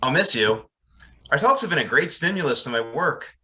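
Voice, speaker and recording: An actor playing an earnest, deferential young pastor and family friend in an old-time radio drama, polite and hesitant. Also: male voice, on the high side, expressive, moderate pace, pushed and bright. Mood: concerned, grateful.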